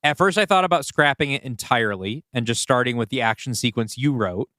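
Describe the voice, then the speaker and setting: A man's voice: mid-range voice, a little fast, pushed and bright, no room sound, studio quality. A man co-hosting a podcast, casually talking through ideas and explaining his thinking aloud. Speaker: podcast co-host and writer. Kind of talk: casual collaborative discussion. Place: indoors, two people seated across from each other.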